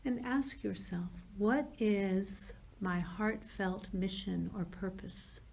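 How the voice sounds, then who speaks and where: A woman leading a guided yoga nidra meditation as a teacher, speaking in slow, soothing, unhurried phrases with pauses between them. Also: mid-range voice, gravelly, a little mellow, slightly rough.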